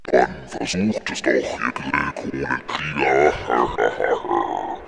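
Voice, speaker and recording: A man voicing a gigantic, menacing troll-like monster with a dark voice and slow, drawn-out threats in a storybook tale. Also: extremely low pitch, vocal fry, a crowd in the background, voice pushed down, heavily acted. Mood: mocking.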